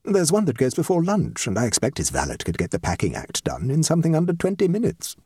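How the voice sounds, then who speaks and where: Storybook role: male reader as shrewd society woman, precise and wry, raised pitch, lively intonation. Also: breathy edge, no echo, heavily acted. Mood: brisk. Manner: measured, dry, precise. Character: shrewd, dry, tactful society lady, a guest. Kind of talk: comic short story reading.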